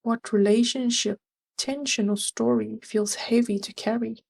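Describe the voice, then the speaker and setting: A woman leading a scripted guided meditation, giving gentle, measured and soothing instructions. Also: on the high side, steady tone, vocal fry.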